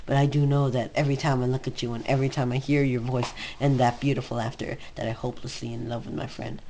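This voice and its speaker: A man's voice: medium pitch, flat delivery, fast talker, gravelly, dark tone, natural voice. Man playing lovestruck young man, nervous, stammering, hesitant, story reading, faint noise behind. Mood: pleading. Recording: noisy home recording.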